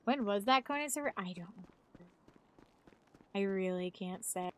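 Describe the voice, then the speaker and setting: A woman's voice: mid-range voice, animated, moderate pace, fairly bright tone, a little hiss or hum. A woman in her thirties, a live streamer chatting casually, rambling and swearing freely. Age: 30s. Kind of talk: casual chat.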